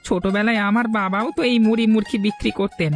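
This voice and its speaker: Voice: medium pitch, steady tone, fast talker, faint music behind, natural voice. An actor voicing a humble, respectful male village pushcart snack seller, homely in manner, in a cartoon story. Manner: deferential, homely.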